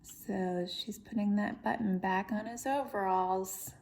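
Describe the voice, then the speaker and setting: A woman reads a picture book aloud at an unhurried, slow pace. Her narration is expressive, and she explains things gently to young listeners. Her pitch is medium. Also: slight background noise. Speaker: storybook reader. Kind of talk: children's read-aloud narration with explanatory asides.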